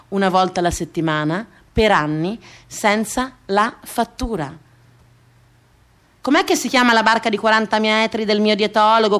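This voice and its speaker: A woman performing a theatrical comic monologue, expressive, with deadpan comic lists. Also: medium pitch.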